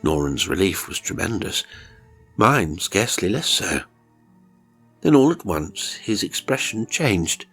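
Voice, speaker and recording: A man's voice: deep voice, very animated, measured pace, fairly bright tone, husky, soft background music. A man narrating a first-person horror story in a dramatic audiobook style.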